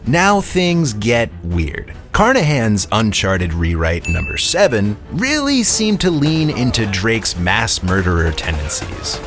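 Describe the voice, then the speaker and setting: A man narrating a scripted video essay. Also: lowish voice.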